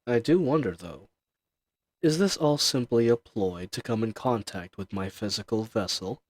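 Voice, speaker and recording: A man playing an ancient dragon in roleplay audio, imperious and teasing, dangerous yet tender, speaking to the listener in formal, old-fashioned phrases. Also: lowish voice. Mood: teasing, seductive. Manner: slow, haughty, teasing, formal archaic diction.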